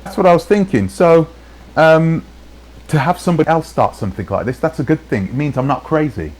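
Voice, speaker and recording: A man's voice: a little high, lively intonation, fairly quick, darkish tone, rough recording. A man talking casually in a rambling way, often restarting his sentences, with faint noise behind him. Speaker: channel host in played clips. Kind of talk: casual live-stream talk.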